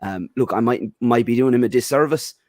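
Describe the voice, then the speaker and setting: A man's voice: medium pitch, brisk, a little mellow, no room sound, clean studio sound. An Irish man on a football podcast panel, giving firm opinions with lots of "um" and "you know". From Ireland. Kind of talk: casual football podcast chat.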